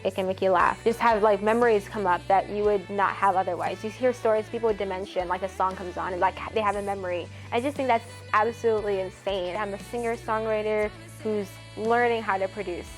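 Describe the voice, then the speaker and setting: A woman's voice: medium pitch, poor audio. An eighteen-year-old woman from Ethiopia, a college student and singer-songwriter, talking earnestly in a casual interview, full of "like" fillers. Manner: earnest, chatty, many "like" fillers.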